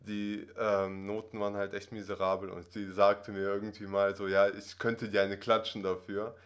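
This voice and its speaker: A man's voice: on the low side, level tone, normal speed. A man in his twenties who grew up in Russia, talking casually as a podcast guest, rambling with many fillers and tag questions. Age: 20s. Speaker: acting student guest.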